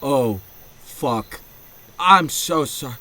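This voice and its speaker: A man's voice: medium pitch, expressive, extremely slow, pushed and bright, exaggerated voice. A man acting in an audio drama as a defensive, floundering partner at his wits' end, stammering and repeating himself. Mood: shaken, apologetic. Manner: stammering, repeating words, swearing.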